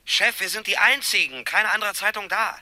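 Newsroom deskman, high sharp voice, swooping, stammering, eager, flustered, radio crime drama. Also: no echo. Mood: flustered urgency. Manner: hurried, stammering, urgent. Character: excitable, eager newspaper desk man pleading with boss. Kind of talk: German radio crime play acting.

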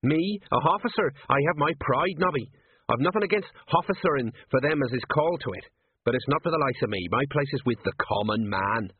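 A man's voice: mid-range voice, expressive, a little fast, pitched above the performer's own voice. Man doing a rambling old sergeant. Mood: scheming. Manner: rambling, folksy working-class talk. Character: aging, portly, worried watch sergeant, man. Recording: dry husky phone-like audio.

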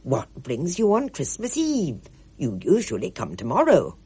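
Woman playing plump jovial old lady, wheezy, homely, low and husky. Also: wildly swooping pitch, unhurried, mellow, full character voice.

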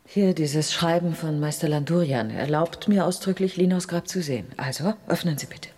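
An actress in a radio drama playing a sharp, insistent woman who challenges people with blunt suspicion. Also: deep voice, normal speed, natural voice. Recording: clear ambient noise behind voice.

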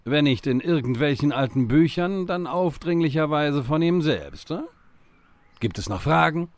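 An actor playing a stern, self-important time-travelling wizard with a measured, dry delivery in a comedic fantasy audio drama. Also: male voice, fairly high pitch, expressive, dry recording. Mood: pompous, dry.

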